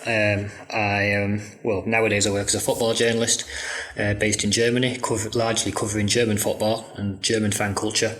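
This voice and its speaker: A man's voice: lowish voice, little pitch movement, fairly quick, a bit bright. A man, a football journalist talking hesitantly with many ums in an interview. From Manchester, England. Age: 30s. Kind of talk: casual interview talk.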